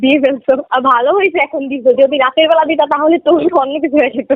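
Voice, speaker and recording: A woman's voice: high voice, steady tone, very fast, no echo. A young woman chatting casually on a phone call. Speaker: subscriber receiving a prank call. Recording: tinny, narrow phone line.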